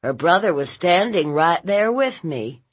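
A woman's voice: lowish voice, sing-song, measured pace, slightly rough, voice pushed up, exaggerated voice. A woman voicing a sullen, evasive seventeen-year-old boy in a story, giving short, defensive answers. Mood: sulky excuses.

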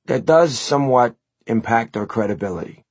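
A man's voice: mid-range voice, expressive, moderate pace, a little mellow, husky, pitched above the performer's own voice. A man voicing a blunt, teasing young bandmate in an audiobook, slangy in manner. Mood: wry.

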